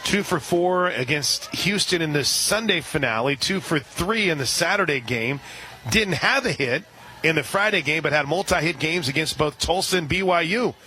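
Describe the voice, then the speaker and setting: A man calls a college softball game on the radio, giving counts, stats and sponsor reads in a steady, informative way. His voice sits a little high for a man. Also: moderate pace. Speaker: radio play-by-play announcer. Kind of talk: radio play-by-play commentary.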